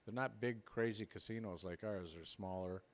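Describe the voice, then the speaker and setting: Man, middle-aged, from Minnesota, podcast co-host, lowish voice, rambling, laughs a lot. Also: normal speed. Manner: rambling storyteller, laughs often. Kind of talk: casual chat.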